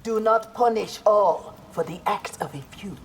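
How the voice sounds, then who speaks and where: A woman's voice: mid-range voice, measured pace, a little hiss or hum, close to an everyday voice. A woman playing a proud, honorable armored ninja in a video game, speaking formally and with earnest resolve. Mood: resolute. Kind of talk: video game character dialogue.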